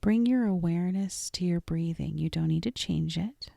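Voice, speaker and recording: A woman leading a guided meditation in a soft, gentle, deliberate voice that often trails toward a whisper, with frequent pauses. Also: fairly low pitch, vocal fry, dry recording, clean studio sound. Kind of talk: guided meditation, scripted and soothing.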